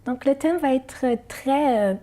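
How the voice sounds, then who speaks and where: A woman's voice: high-pitched, slow, dark tone. A woman singer whose first language is Arabic, answering interview questions in a hesitant way, with many fillers and restarts.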